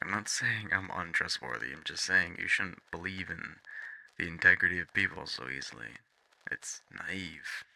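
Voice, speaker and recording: A man playing a blunt, sarcastic vampire mentor in an audio roleplay, talking in a casual, halting, exasperated way. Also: low voice, creaky, sharp tone, heavily acted, low-quality recording. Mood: warning, stern. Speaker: voice actor. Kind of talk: ASMR roleplay audio drama, one-sided conversation.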